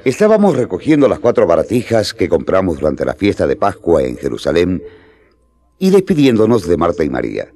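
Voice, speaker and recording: A deep-voiced storyteller. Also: male voice, brisk, full character voice. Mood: calm. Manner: calm storytelling. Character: male disciple telling the story. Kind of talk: scripted radio narration.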